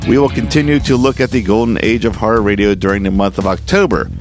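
A man's voice: lowish voice, fast. Male podcast host over guitar music. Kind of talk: casual scripted podcast outro.

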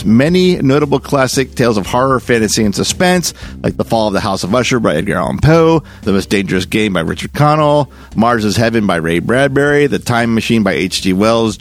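Animated male host with swooping intonation. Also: mid-range voice. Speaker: podcast host. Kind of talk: scripted introduction narration.

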